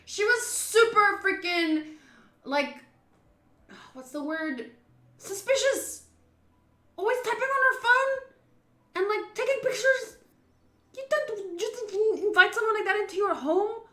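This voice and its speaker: A young woman with an expressive, chatty manner, reading a visual novel aloud and commenting as she plays. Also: very high voice, unhurried, pauses often. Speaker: streamer playing a visual novel. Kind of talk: gaming commentary, reading story text aloud. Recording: roomy, echoing voice.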